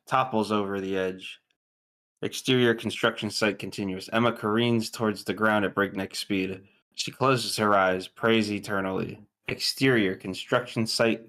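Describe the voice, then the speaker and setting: A man giving scripted narration, reading screenplay stage directions in a measured, clipped way. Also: on the low side, fairly bright tone.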